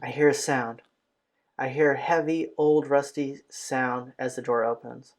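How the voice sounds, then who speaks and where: A man's voice: medium pitch, fairly even intonation, measured pace. A man reading visual novel narration aloud as a let's play host, occasionally stumbling over a phrase. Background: game music.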